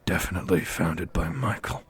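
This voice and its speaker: A man playing a brooding, scornful supernatural warrior in an ASMR roleplay, speaking formally in a husky, breathy voice. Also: low-pitched, normal speed. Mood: brooding disdain.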